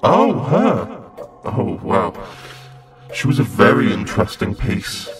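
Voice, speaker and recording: Man acting a devilish villain, low voice, very animated intonation, taunting, sinister, audio drama, prominent music behind. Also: takes it slowly, exaggerated voice. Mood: mocking. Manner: smug, taunting. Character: sinister, mocking male villain with devilish air.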